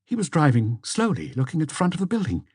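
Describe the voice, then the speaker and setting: Man voicing an anxious, higher-pitched woman under questioning. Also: huge pitch swings, fast talker, darkish tone, slightly rough, no room sound, clean studio sound, exaggerated voice. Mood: reluctant. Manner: hesitant, defensive.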